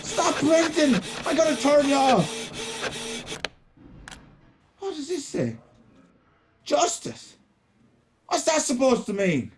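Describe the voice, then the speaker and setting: A man acting as an exasperated factory worker in a radio play. His voice sits high and swings widely as he protests and makes defensive excuses. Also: big pitch swings, measured pace, full character voice.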